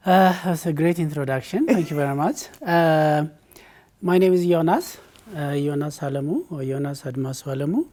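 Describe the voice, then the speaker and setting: A man's voice: a little high, moderate pace, darkish tone. A middle-aged man from Ethiopia, a guest in a conversational interview, who answers thoughtfully with frequent fillers and restarts.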